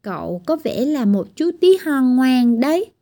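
A woman voicing a gentle but bossy blue-eyed tiny girl who fusses over a patient in a children's storybook. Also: high-pitched, very animated, measured pace, pitched above the performer's own voice, exaggerated voice. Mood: caring. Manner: scolding yet caring.

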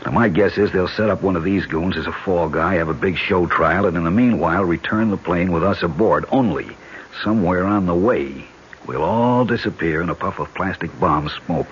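A man's voice: low-pitched, moderate pace, close to an everyday voice. An actor with an American accent playing a hard-bitten, cool-headed male government agent held hostage in a 1970s radio drama. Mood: terse, grim. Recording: muffled, noisy old radio broadcast recording.

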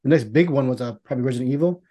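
A man hosting a casual film discussion in a rambling, halting way, often restarting his sentences. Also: medium pitch, warm tone. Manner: profane. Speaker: podcast host.

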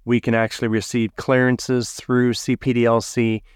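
A man hosting a conversational interview in a polite, earnest, halting way. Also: medium pitch, flat delivery, smooth, no echo, clean studio sound. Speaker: podcast host, pilot.

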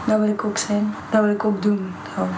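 An actress plays a hesitant, sincere woman with a Flemish accent, groping for words as if interviewed. The room is echoey, with clear ambient noise. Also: mid-range voice, level tone, natural voice. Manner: halting, searching for words. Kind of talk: naturalistic interview answers.

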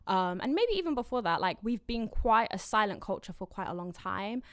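A woman hosting a podcast in casual chat, chatty and quick to laugh. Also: medium pitch, very animated, clear, resonant tone, no echo, studio quality. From London. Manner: chatty, laughs easily, trails off mid-sentence. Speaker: podcast host.

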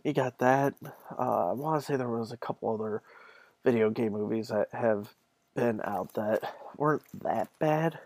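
A man with a noticeably creaky, gravelly voice talks as a solo podcast host. His delivery is casual and conversational, speaking straight to the listener. The recording is close and dry, with very little room sound. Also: medium pitch, a little mellow, dry recording. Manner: conversational, addresses listeners directly.

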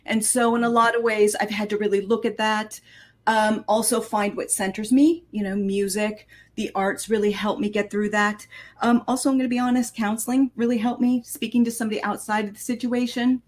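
A woman's voice: fairly high pitch, little pitch movement, a little fast, a little mellow. A woman giving candid, thoughtful, reflective answers as a storyteller guest in an interview.

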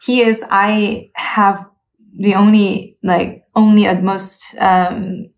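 A woman's voice: medium pitch, level tone, slow pace, highs cut off. Young woman musician, thoughtful and hesitant. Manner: thoughtful, hesitant, many fillers and restarts. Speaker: interview guest, cellist. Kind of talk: casual conversational interview.

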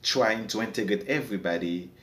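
A man's voice: lowish voice, brisk, fairly bright tone, echoey room. A man from Haiti with a Haitian accent, a native Creole speaker, talking earnestly as a podcast guest and often repeating words as he searches for them. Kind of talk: casual discussion.

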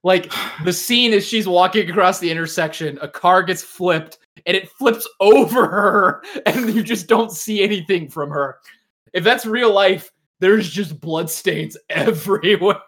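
A man's voice: sits high, measured pace, a bit bright. A man hosting a casual podcast chat, teasing and swearing freely, with lots of slang. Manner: teasing, profane, heavy use of slang like "dude". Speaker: podcast host.

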